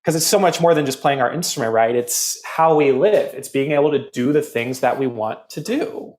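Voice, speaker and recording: A man guests on a podcast and explains things in a calm, even way. His voice sits in an ordinary middle pitch. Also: moderate pace. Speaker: guest health coach. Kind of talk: casual interview chat.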